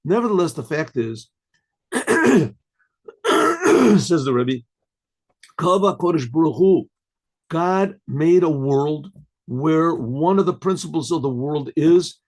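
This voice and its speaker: An older man, a rabbi, giving a questioning sermon-style lecture, with restarts, rhetorical asides and frequent throat clearing. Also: on the high side, animated, unhurried, pauses often, darkish tone. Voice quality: husky, clears throat often. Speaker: rabbi teaching.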